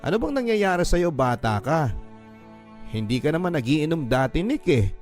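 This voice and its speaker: A man's voice: on the high side, sing-song, moderate pace, soft background music, voice pushed up. Man voicing a concerned, chiding fatherly street vendor. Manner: concerned, gently scolding. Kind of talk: radio drama dialogue.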